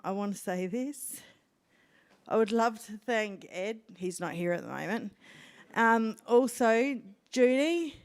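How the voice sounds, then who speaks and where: A woman's voice: mid-range voice, clear, resonant tone. A woman with an Australian accent, speaking earnestly into a handheld microphone as a guest on an event stage. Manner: slow, earnest, heartfelt. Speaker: guest speaker sharing her story. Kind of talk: answering interview questions on stage.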